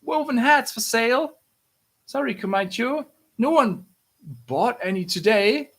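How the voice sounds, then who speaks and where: A man's voice: extremely high pitch, animated, measured pace, a bit bright, voice pushed up, full character voice. A man voicing a plucky, hopeful young orphan girl who sells hats, earnest and pleading, in a comic read-aloud. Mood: plaintive, hawking.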